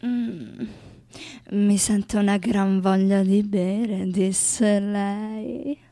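An actress playing a weary, tender lover in an intimate literary radio drama, with a gravelly, creaky voice. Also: female voice, mid-range voice, fairly even intonation, very slow, dark tone, dry recording, clean studio sound. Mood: dry, languid. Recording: voice over faint-to-clear music bed.

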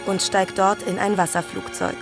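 A woman playing a matter-of-fact researcher in a radio play, reciting in a deadpan, declarative way. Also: medium pitch, normal speed, close to an everyday voice. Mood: deadpan listing. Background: music.

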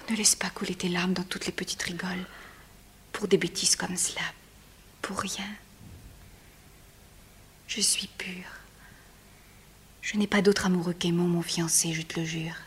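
A young actress voicing a stubborn but tender princess, speaking softly and often in a whisper in a stage drama. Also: female voice, mid-range voice, slight background noise, lightly acted. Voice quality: soft, often whispered delivery.

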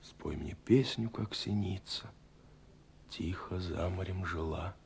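An actor playing a lovestruck young man who narrates his letters in a lyrical, wistful, intimate way, with pauses, in a romantic radio drama. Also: low-pitched, vocal fry, husky, heavily acted. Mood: tender, hushed. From Russia. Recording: 1970s radio recording with music.

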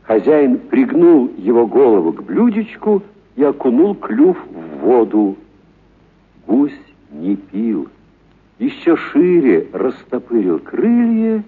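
A man narrating a literary story for radio in a dramatic, expressive storytelling manner. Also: medium pitch, husky. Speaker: narrator, actor reading a story. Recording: narrow, muffled radio-broadcast sound.

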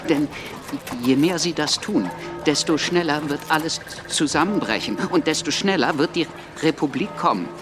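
An actor playing a sardonic, radical young lawyer who speaks with a slight halting stammer in a radio drama. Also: male voice, a little high, over music, natural voice. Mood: sardonic, provocative.

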